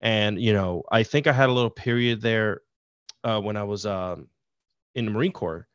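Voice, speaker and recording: A man's voice: on the low side, normal speed, clear, resonant tone, dry recording. Middle-aged man from Puerto Rico, guest, loose rambling storyteller; dull, dry video-call sound. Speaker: podcast guest. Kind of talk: casual interview chat.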